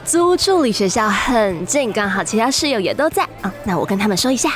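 A woman's voice: mid-range voice, sing-song, faint music behind, close to an everyday voice. A woman with a Taiwanese accent playing a chatty, kind, teasing young big-sisterly housemate in an audio drama. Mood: eager, friendly. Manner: exclamatory, playful scolding with drawn-out particles.

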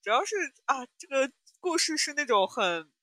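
Lively, bright-voiced woman podcaster. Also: high-pitched, normal speed, no room sound. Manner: playful, giggly, teasing storytelling. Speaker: podcast co-host. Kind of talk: casual discussion of audio dramas.

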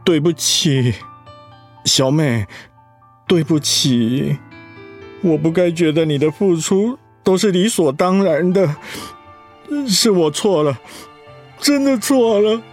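A man's voice: fairly high pitch, slow, pauses often, faint music behind. Man playing an apologetic, pleading husband. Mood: remorseful, afraid. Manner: soft, pleading. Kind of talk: audio drama acting.

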